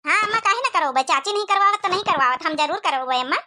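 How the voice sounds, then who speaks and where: A woman's voice: very high-pitched, animated, fast talker, sharp tone, heavily acted. Woman as an earnest, piercing little village girl. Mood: earnest, eager. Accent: Awadhi. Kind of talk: village cartoon comedy acting.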